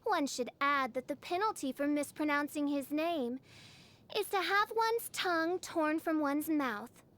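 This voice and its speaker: An actress voicing a theatrical, self-styled dark princess, a teenage girl with pompous, archaic diction, for a video game. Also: high-pitched, lively intonation, a little slow. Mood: haughty, solemn. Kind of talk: video game character voice lines.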